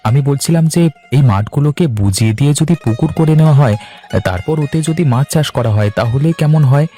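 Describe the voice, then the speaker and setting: A man's voice: mid-range voice, expressive, fast talker, warm tone, slightly rough. A man playing an enterprising, eager younger farmer brother who is respectful to his elder, in a cartoon. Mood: persuasive. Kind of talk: cartoon dialogue. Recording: music under the voice. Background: instrumental music.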